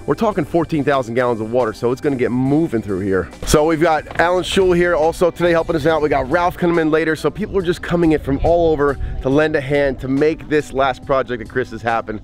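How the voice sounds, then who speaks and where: A man's voice: a little high, quick pace, few pauses, soft background music. A man in his forties hosts a walkthrough of an outdoor build, explaining each step in an upbeat way to a camera held close. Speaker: pond builder and channel host. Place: outdoors at a backyard construction site. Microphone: handheld camera held close.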